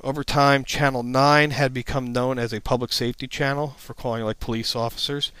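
Clear-voiced man presenting an informal tutorial. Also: mid-range voice, flat delivery, brisk, fairly bright tone, dry recording. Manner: plain-spoken, matter-of-fact explaining. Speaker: instructor, presenter. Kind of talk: informal slide presentation narration.

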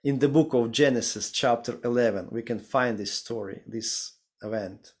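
A man in his thirties with a Ukrainian accent, preaching a sermon and reading scripture slowly and deliberately over a video call from a home study. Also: mid-range voice, husky. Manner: slow, deliberate reading with careful pauses. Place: home study with bookshelves, indoors. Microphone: video call webcam.

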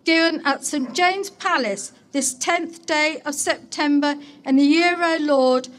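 Woman mayor reading a formal proclamation outside, high pitched and really slow, solemn and declamatory. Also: fairly bright tone. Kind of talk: formal ceremonial public address. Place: outdoor market place ceremony.

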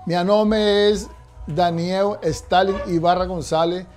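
A man in his forties from Venezuela, interviewed in a workshop, often pausing to search for words. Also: high voice, steady tone, a little mellow, faint music behind. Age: 40s. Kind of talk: documentary interview. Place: indoor workshop.

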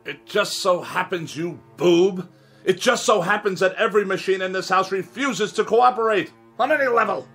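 An actor playing a pompous, irascible writer who despises machines, speaking in wordy, sneering sarcasm in a radio drama. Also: male voice, high voice, normal speed, fairly bright tone, breathy edge, soft background music, full character voice. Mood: agitated.

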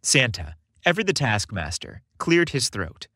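A man's voice: medium pitch, big pitch swings, a little slow, pushed and bright, no echo, studio quality. A man reading a scripted story as a narrator, with dramatic storytelling delivery. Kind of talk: scripted audiobook-style narration.

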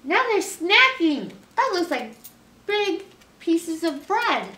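A middle-aged American woman leading a children's story time, expressive as she talks to young listeners. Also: very high voice, takes it slowly. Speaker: librarian storyteller. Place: small library room, indoors. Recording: roomy, picked up from a distance.

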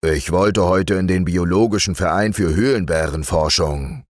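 A man's voice: low voice, normal speed, no echo, studio quality. A man voicing a grumpy, stout older male bear in a storybook reading, grumbling and a little sly.

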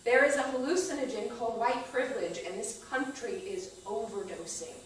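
A woman performing a dramatic, emphatic spoken-word monologue on a small stage. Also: a little high. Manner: deliberate, emphatic repetition. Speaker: performer at a live stage reading. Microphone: distant camera microphone. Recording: echoey theater, far-off camera, faint noise.

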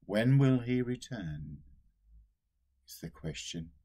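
An older man, a priest, giving a solemn sermon at a slow, deliberate pace, recorded on a webcam in a small room at home. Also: lowish voice, animated, warm tone.

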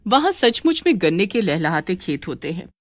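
A woman's voice: fairly low pitch, big pitch swings, moderate pace, no echo, studio quality. A woman narrating a scripted story as its narrator.

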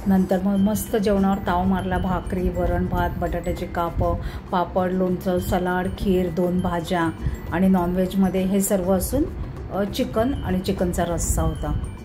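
A woman's voice: fairly low pitch, flat delivery, unhurried. A woman narrating a casual vlog tour of a farm, eagerly pointing things out to viewers. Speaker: travel vlogger. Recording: music playing underneath.

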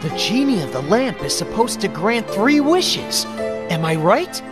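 Cocky young hedgehog hero, high lively voice, loud game music under it. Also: male voice, measured pace. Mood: determined. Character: brash young male blue hedgehog hero. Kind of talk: video game cutscene voice acting.

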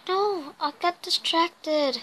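A young woman who is losing her voice, hoarse and hesitant, chatting with many ums while playing a mobile game. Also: very high-pitched, takes it slowly, pushed and bright, slight background noise. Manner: hesitant, full of ums and uhs, apologetic. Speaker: gameplay video host. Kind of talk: casual gameplay commentary.

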